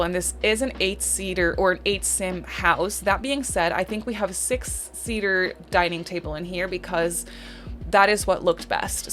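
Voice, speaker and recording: A woman's voice: mid-range voice, a bit bright. Chatty young Dutch woman. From Netherlands. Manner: chatty, rambling, many "like" and "um" fillers. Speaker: Sims builder and YouTuber. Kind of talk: casual voice-over commentary on a speed build.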